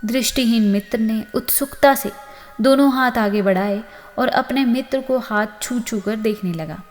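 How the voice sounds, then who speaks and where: A woman narrating a scripted moral story as host, with an expressive storytelling delivery. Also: fairly high pitch, a little slow, soft background music. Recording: long reverb on the voice.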